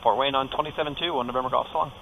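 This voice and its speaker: A male pilot makes clipped radio calls at a very fast pace. His medium-pitched voice sits in loud engine and radio noise. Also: steady tone, creaky, lo-fi sound.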